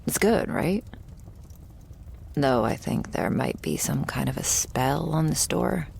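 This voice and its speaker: A woman playing a jaded, wry, terminally ill shopkeeper who serves a vampire, speaking in an intimate, deadpan ASMR roleplay monologue. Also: a little hiss or hum, heavily acted. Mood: wry, reflective. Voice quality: low, husky, gravelly. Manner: deadpan, sardonic, slow and pausing, swearing casually.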